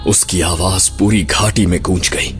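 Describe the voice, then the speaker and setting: A man's voice: low voice, steady tone, measured pace. A man narrating a horror story in a dramatic, scripted storytelling style. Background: loud background music.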